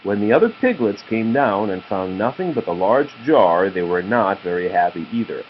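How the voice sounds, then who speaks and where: A man's voice: on the low side, expressive, moderate pace. A man reading a children's story aloud as a father to his child, with a patient manner. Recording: slightly muffled, faint background noise.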